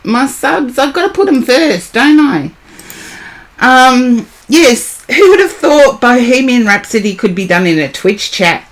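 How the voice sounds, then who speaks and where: A hoarse woman, chatting casually on a live stream as a tarot reader, greeting and answering viewers by name. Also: high voice, sing-song, measured pace.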